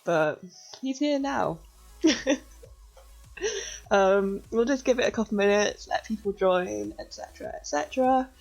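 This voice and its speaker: Croaky-voiced young woman, chatty streamer. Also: mid-range voice, measured pace, long pauses. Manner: chatty, relaxed, giggly. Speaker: streamer teaching hacking. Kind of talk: casual live-stream chat and tutorial. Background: faint music.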